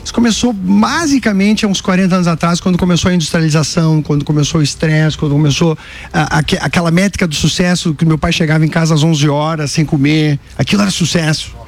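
High-voiced gaúcho man explaining things. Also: steady tone, fast talker. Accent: southern Brazilian gaúcho. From southern Brazil. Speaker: guest neurologist and author. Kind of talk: conversational explaining in an interview.

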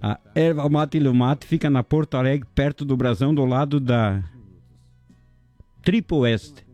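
A chatty man hosting a local radio show. His voice is dark and mellow, with soft music playing faintly behind him. He jokes around and rattles off shout-outs to listeners and sponsors. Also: mid-range voice, measured pace. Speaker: radio host. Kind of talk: casual local radio chat.

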